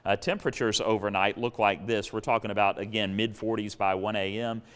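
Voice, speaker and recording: A man with a smooth, resonant voice on the low side delivers a weather forecast. He explains things conversationally, without sounding read. The sound carries a long reverb. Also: fairly even intonation, fairly quick, fairly bright tone. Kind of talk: unscripted weather forecast presentation.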